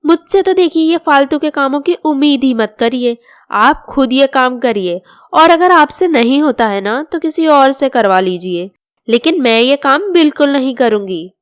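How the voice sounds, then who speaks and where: A woman's voice: high-pitched, lively intonation, normal speed, no echo, clean studio sound. A woman voicing a vain, insolent young daughter-in-law in a family moral story, haughty and cheeky.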